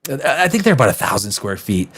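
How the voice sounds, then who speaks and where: Animated North American man in his fifties. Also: medium pitch, fast, slightly rough. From Canada, born in Czech Republic. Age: 50s. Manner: emphatic, profane, repeats phrases. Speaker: livestream host. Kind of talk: casual unscripted livestream chat.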